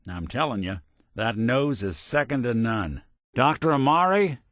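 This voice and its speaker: An actor playing a world-weary, wry robot private detective in a video game, with a clipped hard-boiled gumshoe delivery. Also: male voice, mid-range voice, animated, a little slow, a bit bright, no echo, clean studio sound. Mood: businesslike. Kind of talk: scripted game character voice lines.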